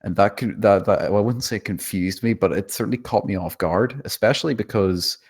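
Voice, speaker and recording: Low-pitched man, hesitant and self-deprecating. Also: a little fast, a little mellow, breathy edge. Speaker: table read participant giving script feedback. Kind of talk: spontaneous critique, thinking aloud.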